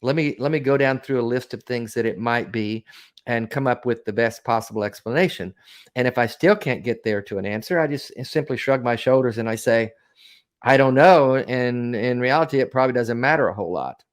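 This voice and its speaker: A man's voice: medium pitch, fairly quick. Southern American man, conversational show host. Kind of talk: casual discussion, explaining.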